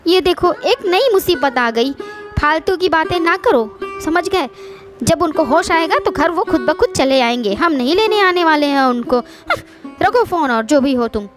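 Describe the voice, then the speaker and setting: A woman's voice: high-pitched, expressive, measured pace, over music, pitched above the performer's own voice. A woman voicing a scornful, bossy young daughter-in-law in a moral story, scolding and sarcastic. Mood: harsh, dismissive. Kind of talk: Hindi moral story voice acting.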